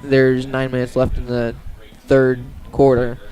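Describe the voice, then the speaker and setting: Even-toned man calling football. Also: medium pitch, measured pace, poor audio. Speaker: play-by-play sports commentator. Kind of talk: live high school football radio play-by-play.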